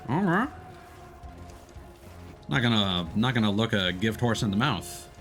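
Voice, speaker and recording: Animated man live-streaming a video game. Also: fairly low pitch, unhurried, faint music behind. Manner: playful, freely swearing. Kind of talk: casual live game commentary, chatting with viewers.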